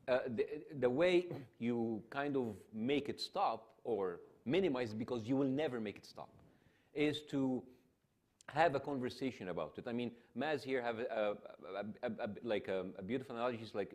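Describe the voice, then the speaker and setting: A man with an Egyptian accent leads a public discussion on stage. He talks in an animated way, often stopping and restarting his sentences, at a middle pitch. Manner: hesitant, many restarts, animated. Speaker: panel host.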